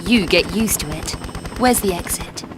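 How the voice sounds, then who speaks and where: A woman's voice: on the low side, animated, normal speed, noisy background, natural voice. A woman voicing a tough, dry-witted young British adventurer in a video game, with understated, sardonic retorts. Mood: dry, unimpressed. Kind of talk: video game cutscene voice acting.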